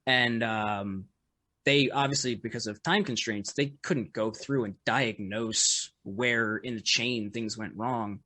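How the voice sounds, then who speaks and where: A man, a musician guest on a podcast, with a loud voice, telling a story in casual chat full of fillers and restarts. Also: mid-range voice, fairly even intonation, slow pace, no room sound. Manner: rambling, lots of fillers and restarts, laughs often.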